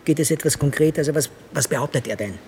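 A man acting as an inquisitive medical colleague in a radio drama. His voice sits fairly high and he sounds questioning and offhand, with slight noise behind him. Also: quick pace, a little mellow, close to an everyday voice. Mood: probing, offhand. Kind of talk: naturalistic German radio drama dialogue.

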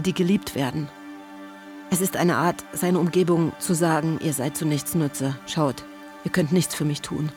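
A woman playing an exasperated, caring friend, delivering a letter as a radio play monologue in a blunt, deliberate, sardonic manner. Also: mid-range voice, a little mellow, close to an everyday voice. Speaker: radio drama actress.

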